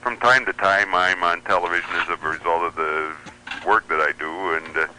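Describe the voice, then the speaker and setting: Radio guest, American man, middle-aged. Sharp, forceful tone on a lowish voice, casual storytelling. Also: steady tone, normal speed, slight background noise, rough recording. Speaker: guest, shortwave radio hobbyist.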